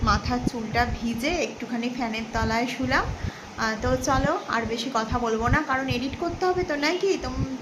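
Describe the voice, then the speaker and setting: A woman's voice: fairly high pitch, noisy background. A woman, narrating a casual vlog and chatting to viewers as friends. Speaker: vlogger.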